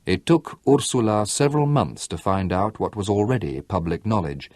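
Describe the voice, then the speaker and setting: A man narrating a literary novel aloud in a measured storytelling delivery. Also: lowish voice, animated, darkish tone, no room sound, studio quality. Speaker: audiobook narrator.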